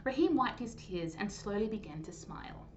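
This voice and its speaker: A woman hosting a storytime, reading a children's story aloud in an animated, clearly phrased way. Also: mid-range voice, moderate pace. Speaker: storytime host and reader. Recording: dull lo-fi sound, faint noise.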